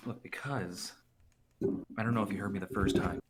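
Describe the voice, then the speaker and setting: A man's voice: fairly low pitch, normal speed, a little hiss or hum, natural voice. A man playing a brash, impatient wish-granting spirit with slangy salesman's patter in a comedic reading. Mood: brash, exasperated. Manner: slangy, exasperated dude-speak.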